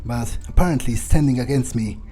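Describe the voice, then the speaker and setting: Casting: a man as a cocky, vindictive male vampire for game roleplay, mellow mid-range voice, halting, sweary delivery, faint music behind. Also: medium pitch, lightly acted. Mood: angry threats. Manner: halting, self-correcting, swearing, taunting. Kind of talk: in-character video game roleplay playthrough.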